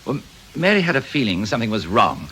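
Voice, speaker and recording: An actor playing a forthright, protective husband answering police questions frankly in a radio crime drama. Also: male voice, mid-range voice, big pitch swings, quick pace, bright, forceful tone, slight background noise, poor audio. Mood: matter-of-fact. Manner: frank, matter-of-fact.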